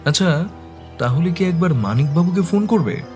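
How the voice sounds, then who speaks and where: A man's voice: on the high side, animated, moderate pace, a little mellow, soft background music, lightly acted. A man narrating a dramatised detective audio story as the detective's attentive companion. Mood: inquisitive. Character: attentive male companion of a detective, telling the case.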